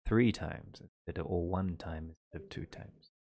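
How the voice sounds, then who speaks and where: A relaxed New man talking over a voice call, his voice sitting very low. The sound is dry and close, with no room around it. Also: normal speed, no echo. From New Zealand. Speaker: streamer chatting in a group call. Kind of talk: casual group chat.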